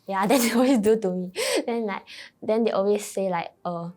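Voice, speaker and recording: A woman's voice: mid-range voice, a little fast, dark tone. A young Singaporean woman chatting casually in Singlish phrasing as an interviewee. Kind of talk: casual chat.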